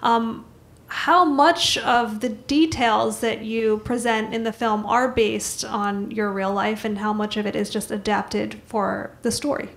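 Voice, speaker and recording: A woman's voice: fairly high pitch, fairly even intonation, measured pace, vocal fry. A woman moderating an onstage interview, asking long questions with many ums and restarts. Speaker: festival moderator. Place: music hall stage before an audience.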